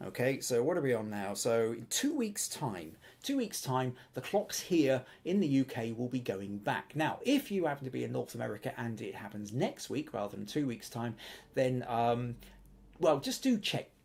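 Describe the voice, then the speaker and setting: A man's voice: mid-range voice, very animated, moderate pace, gravelly, a little mellow. A man hosting a live-streamed photography show, chatting to viewers with many fillers and poking fun at himself. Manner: chatty, self-deprecating, lots of fillers. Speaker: photography podcast host.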